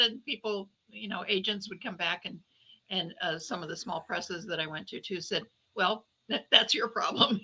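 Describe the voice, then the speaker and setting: A middle-aged woman answering interview questions as a guest author, reflective, with halting phrasing. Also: medium pitch, bright, forceful tone, low-quality recording. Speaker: guest author, debut novelist.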